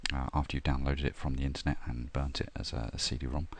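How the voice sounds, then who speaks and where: A middle-aged man talking through a software install, step by step, with frequent ums and uhs. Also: extremely low pitch, fast talker, noisy background. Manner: steady step-by-step explaining with frequent "uh" and "um". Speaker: software tutorial presenter. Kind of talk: screen-recorded tutorial narration.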